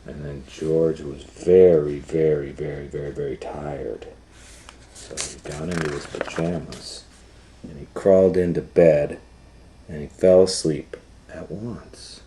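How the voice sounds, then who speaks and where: A man reading a children's story aloud as storytime host, pausing to explain things simply in a conversational way. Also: extremely low pitch, steady tone, dark tone.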